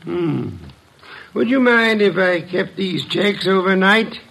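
Vintage radio drama imperious detective boss, high-pitched, bright and forceful, pompous and dismissive, noisy old recording. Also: male voice, lively intonation, gravelly, exaggerated voice. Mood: formal, probing. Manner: pompous, formal, deliberate diction. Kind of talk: old-time radio mystery acting.

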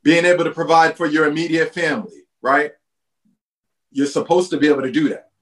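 A man with a somewhat raised pitch makes an earnest, persuasive case in conversation. He leans on rhetorical questions to press his point. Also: steady tone, brisk, fairly bright tone. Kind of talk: casual conversation.

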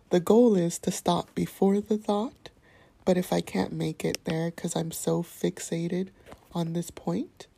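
A calm, soft-spoken woman hosting a podcast, sharing a reflective personal monologue in a hushed, whispery way. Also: fairly low pitch, creaky, husky. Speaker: podcast host.

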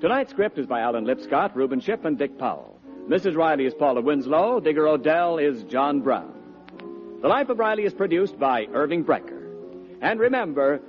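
An American man announcing scripted advertisements and show credits in the style of 1940s network radio. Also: a little high. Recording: dull lo-fi 1940s radio recording.

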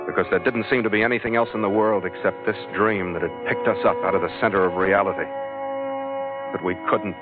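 An actor playing a tough, romantic sea captain in a 1940s radio adventure, speaking in a terse, wry way. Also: male voice, fairly low pitch, steady tone, fairly quick, natural voice. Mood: wistful narration. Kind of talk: 1940s radio adventure acting and first-person narration. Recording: muffled old radio recording with music.

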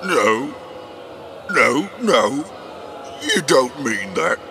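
An actor playing a vain, easily wounded dog poet in a comedic audio drama, reciting in a theatrical, ponderous way. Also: male voice, medium pitch, animated, extremely slow, vocal fry, a crowd in the background, full character voice. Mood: defensive.

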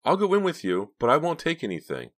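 Man putting on a frightened young woman, pitched up. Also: wildly swooping pitch, fast talker, no room sound, studio quality. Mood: hesitant. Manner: shaky, anxious. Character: frightened, reluctant young woman getaway driver.

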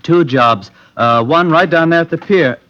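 A man's voice: on the high side, moderate pace, fairly bright tone, natural voice. A male actor playing a sly young French murderer who feigns friendliness, coaxing and hesitant, in an old-time radio drama. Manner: coaxing, hesitant, smooth-talking. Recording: muffled, noisy old radio recording with clicks.

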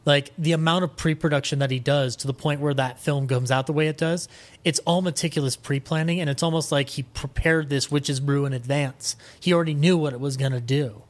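A man speaking as a podcast guest in a casual film discussion, animated and opinionated, swearing freely. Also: fairly high pitch, fairly quick.

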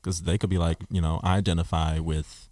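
A man's voice: sits low, level tone, fairly quick, warm tone, dry recording, studio quality. A man hosting a podcast discussion, talking reflectively in long explanatory runs. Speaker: podcast host. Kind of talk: casual discussion.

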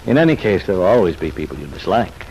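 An actor playing a kindly, patient psychiatrist with soothing, formal phrasing in an old radio soap opera. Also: male voice, sits low, sing-song, fast, darkish tone. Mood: reassuring. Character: kindly reassuring male psychiatrist, genial, patient, professional. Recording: faintly noisy vintage radio recording.

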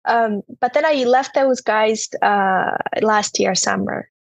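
A woman's voice: mid-range voice, slow, vocal fry, no echo. A young woman from Turkmenistan, a podcast guest earnestly telling her personal story in casual talk.

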